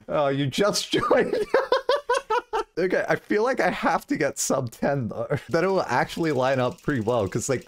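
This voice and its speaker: A young man in his twenties streaming a game from a small home room, chatting casually, swearing and laughing often, close to a boom mic. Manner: animated, profane, laughs a lot. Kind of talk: casual live-stream chat. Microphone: boom mic close up, wearing headphones.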